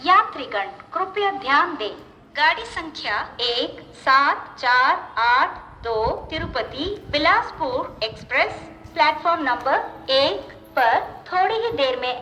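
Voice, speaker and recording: A woman with an Indian accent making formal, measured scripted train announcements in set, pieced-together phrases over a railway station loudspeaker. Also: high voice. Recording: echoey station public-address, faint noise.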